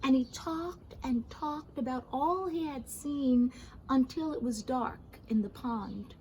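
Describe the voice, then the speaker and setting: A woman reading a children's story aloud expressively, pausing for gentle asides to young listeners. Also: sits high, slight background noise, low-quality recording. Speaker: storybook reader.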